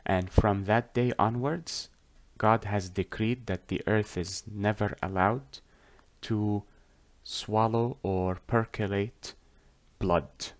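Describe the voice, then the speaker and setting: A man whose first language is Arabic tells a religious story as a lesson, talking deliberately with many pauses and self-corrections. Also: lowish voice, fairly even intonation. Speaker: religious storyteller teaching on a whiteboard.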